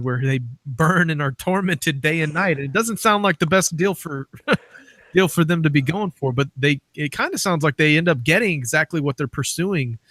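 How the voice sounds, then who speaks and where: Guy hosting an interview, kinda high voice, dry close sound, chatty and rambly. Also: fairly bright tone, no room sound. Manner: informal, rambling lead-ins to questions. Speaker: interviewer, host. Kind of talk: casual conversational interviewing.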